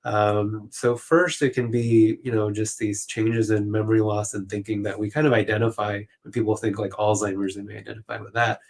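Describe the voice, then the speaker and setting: A low-voiced man speaks as a clinician being interviewed. He explains in an informal, halting way, leaning on little filler phrases as he feels his way through each point. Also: flat delivery, vocal fry. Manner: halting, informal, peppered with "you know" and "like". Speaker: clinician guest. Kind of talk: conversational expert explanation.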